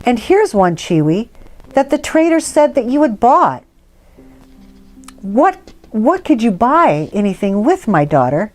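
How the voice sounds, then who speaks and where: Woman reading as a gentle, firm mother. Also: a little high, very animated, faint music behind. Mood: puzzled. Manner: measured, formal phrasing. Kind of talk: storybook read-aloud.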